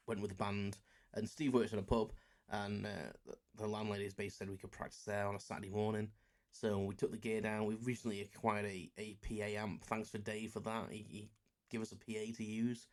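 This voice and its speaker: A man around forty, chatting casually on his solo podcast, rambling and self-deprecating with many fillers. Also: lowish voice. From England. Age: about 40. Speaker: podcast host.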